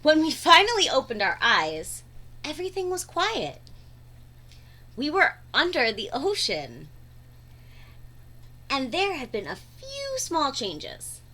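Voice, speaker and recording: A woman reading a storybook aloud to children in an expressive, dramatic way, stretching words for emphasis. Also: sits high, takes it slowly, long pauses.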